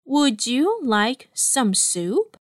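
A woman reading an English listening test aloud with careful, clear delivery, saying each item twice. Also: sits high, sing-song, drawn-out words, no echo, clean studio sound. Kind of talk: slow, deliberate test dictation.

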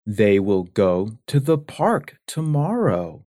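Very slow man reading a test. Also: fairly low pitch, big pitch swings, dark tone, dry recording, clean studio sound. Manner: clear, carefully spaced words. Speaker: listening test reader. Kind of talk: slow, deliberate exam reading.

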